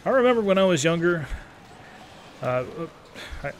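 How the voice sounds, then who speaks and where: A man's voice: sits high, very animated, moderate pace, a little hiss or hum. A man in his thirties giving casual, rambling, self-deprecating live gameplay commentary, often wandering off on tangents. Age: 30s. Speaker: gaming video commentator.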